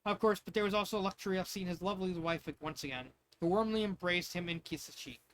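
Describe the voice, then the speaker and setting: A man's voice: high voice, fast talker, a bit bright, slight background noise, rough recording. A man reading a story aloud in a loose, jokey way, often breaking off into casual asides. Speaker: fanfiction reader.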